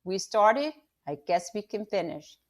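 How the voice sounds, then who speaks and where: A woman's voice: medium pitch, big pitch swings, unhurried. A woman reading aloud and voicing a hurt, angry young boy who speaks in short, blunt lines. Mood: flat.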